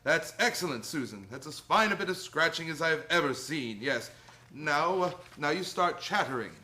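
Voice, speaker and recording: A man playing a kindly, playful old Santa Claus in a radio play, gentle and coaxing, with hesitant little interjections. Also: a little high, expressive, normal speed. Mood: playful, encouraging.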